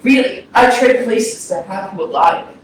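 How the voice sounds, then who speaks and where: A man playing a haunted, grieving man of almost thirty in a staged reading, speaking earnestly and haltingly as he pleads with the dead. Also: high-pitched, animated. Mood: wistful. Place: indoor arts center stage, recorded from afar. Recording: very echoey, distant hall, faint noise, processed.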